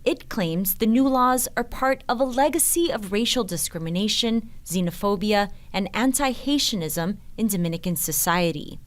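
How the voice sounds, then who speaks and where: A woman's voice: medium pitch, lively intonation, moderate pace, few pauses. A woman reading scripted news documentary narration in the manner of a reporter.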